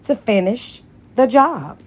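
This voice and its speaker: A woman's voice: a little high, big pitch swings, slow pace, voice pushed up, full character voice. Woman reader as a smug mistress, blunt, independent, dismissive, audiobook character dialogue.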